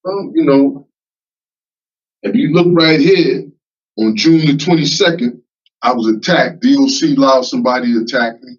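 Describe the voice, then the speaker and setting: A man in his fifties at a parole hearing, speaking haltingly with many fillers and repeats. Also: medium pitch, husky. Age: 50s. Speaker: parole applicant. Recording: muffled distant remote-call audio.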